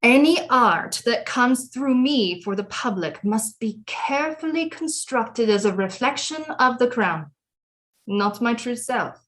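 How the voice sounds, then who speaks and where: A woman playing a cunning, imperious French queen mother with grandiose, deliberate period speech in an audio drama. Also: fairly high pitch, expressive. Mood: imperious, earnest. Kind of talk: theatrical audio drama acting.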